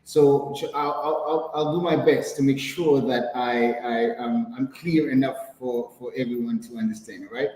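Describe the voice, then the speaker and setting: Male guest, French Haitian accent, mid-pitched, halting speech, roomy call audio. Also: fairly even intonation, darkish tone. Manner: hesitant, many fillers and restarts. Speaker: guest entrepreneur. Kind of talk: video call interview.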